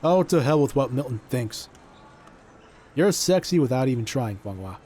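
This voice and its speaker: A man's voice: medium pitch, expressive, normal speed, warm tone, slight background noise, natural voice. A man playing a confident, gallant conjurer in an audio drama, theatrical and commanding. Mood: smitten. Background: music.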